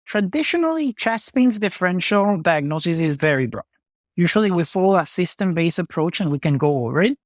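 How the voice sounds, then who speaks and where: Man, thirties, methodical case presenter, slightly high voice, dry close mic. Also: lively intonation, brisk, dry recording. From Venezuela. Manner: methodical, listing clinical details. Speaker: cardiology fellow, guest.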